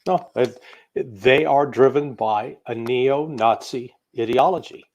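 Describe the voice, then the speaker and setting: A male American commentator with a medium-pitched voice, talking as an interview guest. He gives opinionated political analysis off the cuff, emphatically stacking one point on the next. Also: slow, a little mellow, lo-fi sound. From United States. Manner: emphatic, piling up lists, opinionated.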